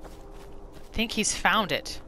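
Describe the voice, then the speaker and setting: A woman giving casual, expressive gameplay commentary as a roleplay host. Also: medium pitch, slow, slight background noise. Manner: expressive, exclamatory.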